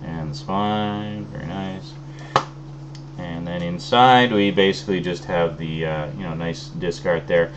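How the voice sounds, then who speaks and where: A man with a very low voice talks loosely through an unboxing, full of ums and trailing restarts. He sounds a little phlegmy from an oncoming cold. A faint hum sits under the recording. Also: a little slow. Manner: relaxed, rambling, many fillers. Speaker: video host. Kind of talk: casual unboxing chat.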